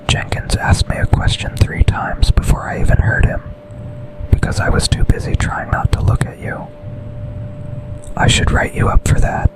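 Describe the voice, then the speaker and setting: A man playing an intense, tender office boss and secret lover in a breathy, intimate whispered ASMR boyfriend roleplay. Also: lightly acted, low-quality recording. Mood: teasing, longing, then mock stern. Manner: slow, intimate, deliberate delivery with pauses.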